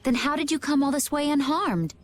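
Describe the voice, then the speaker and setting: A woman's voice: sits high, expressive, fairly quick. An actress playing a composed, perceptive woman adventurer in a video game, speaking in a probing way. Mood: concerned, probing. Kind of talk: voice acting in an English video game cutscene.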